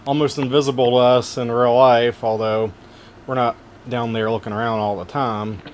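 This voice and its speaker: A man with a relaxing voice casually narrating wildlife camera footage, gentle and folksy with joking asides. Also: mid-range voice, fairly even intonation, normal speed, slight background noise. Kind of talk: casual narration over video.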